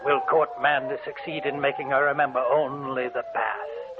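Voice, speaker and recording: An actor playing a sinister old hermit who narrates a radio horror drama in a gloating, cackling manner. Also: male voice, medium pitch, fairly even intonation, moderate pace, lightly acted. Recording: very noisy, tinny old radio recording.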